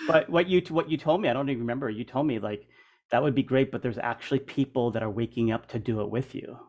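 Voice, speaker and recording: A man's voice: medium pitch, fast talker, a little mellow, muffled recording. A middle-aged man hosting a casual interview, talking informally and banteringly, with plenty of "like" and "man". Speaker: interview host.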